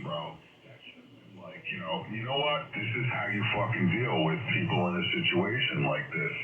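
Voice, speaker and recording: A man chatting casually over ham radio, profane and emphatic, with halting starts. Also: low-pitched. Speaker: amateur radio operator in a group chat. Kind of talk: casual chat. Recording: narrowband, echoey ham radio transmission, loud interference.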